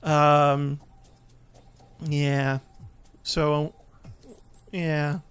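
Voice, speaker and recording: A man's voice: on the high side, little pitch movement, extremely slow, fairly bright tone, clean tone. A man giving casual gameplay commentary as a let's play host, easygoing and a bit hesitant as he explains.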